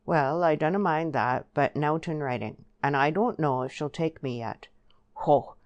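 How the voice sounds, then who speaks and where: A woman's voice: low-pitched, unhurried, no echo, highs cut off. A woman voicing a brash, scheming countryman in a storybook, blunt and emphatic, with a rural English dialect. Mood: brash, persuading.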